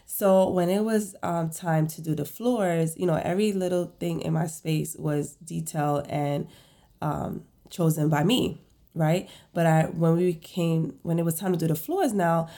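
A woman's voice: deep voice, a little slow, mellow. A woman in her thirties hosting a casual solo podcast, stuffy with a head cold, rambling and correcting herself as she tells a story. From Brooklyn, New York. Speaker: podcast host, esthetician and salon owner.

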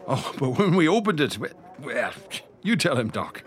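A man's voice: fairly high pitch, moderate pace. A male actor playing a folksy, superstitious Irish policeman in an old-style radio drama, with an Irish accent. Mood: gossipy. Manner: colorful, animated, folksy. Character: earthy, superstitious Irish beat policeman, talkative and deferential.

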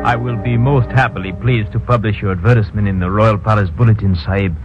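An actor playing a polite, obsequious newspaper clerk in colonial India in a 1950s radio drama, speaking formally and precisely. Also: male voice, lowish voice, steady tone, fast, loud music behind the voice, close to an everyday voice. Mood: courteous, careful. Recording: muffled, faintly noisy old radio recording.

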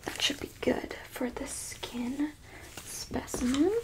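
A woman playing a coolly clinical, smug and curious alien abductor in an ASMR roleplay, in a soft breathy whisper with a coyly condescending manner. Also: high voice, steady tone, takes it slowly. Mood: clinical, focused.

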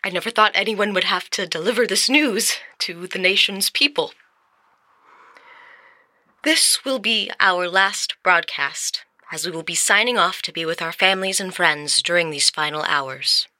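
An actress playing a grave news anchor in an audio drama, speaking in a solemn, formal, measured broadcast style. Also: female voice, lowish voice, pushed and bright, no echo, studio quality. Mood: solemn, sorrowful. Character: grave news anchor announcing the end of civilization.

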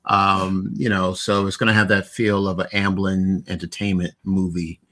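A man with a very low, deep voice hosts a casual podcast. He talks in a rambling, hesitant way and leans on fillers while he works his thoughts out. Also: flat delivery, normal speed, fairly bright tone, slight background noise. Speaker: podcast host.

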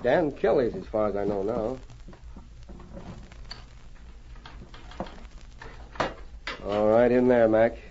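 An actor playing a firm, steady Mountie sergeant with a measured, authoritative way of talking in an old radio drama. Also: male voice, medium pitch, natural voice. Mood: businesslike. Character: firm, steady male Mountie sergeant of the gold-rush Yukon. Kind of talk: 1940s radio drama acting. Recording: muffled, noisy old radio recording.

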